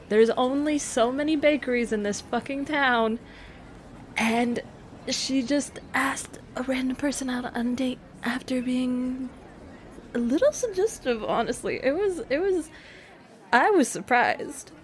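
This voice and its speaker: A woman's voice: a little high, lightly acted. A woman playing a playful, affectionate secret girlfriend in an intimate roleplay audio, hesitant and trailing off as she teases. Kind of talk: intimate roleplay audio, casual improvised-sounding chat. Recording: noticeable cafe ambience noise behind.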